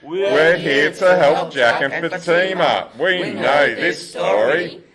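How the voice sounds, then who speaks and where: Man putting on a raised-pitch, defiant dwarf. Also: slow, crowd noise. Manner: defiant, emphatic. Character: bold, indignant male fairy-tale dwarf. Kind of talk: children's fairy-tale radio play.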